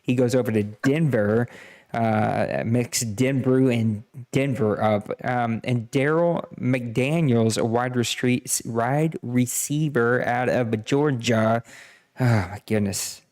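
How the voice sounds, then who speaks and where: A man's voice: medium pitch, takes it slowly, a little mellow. A man hosting a live podcast draft, casually reading out player picks and stats with self-deprecating jokes. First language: Cajun French. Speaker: podcast host running a draft. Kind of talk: casual live-stream commentary.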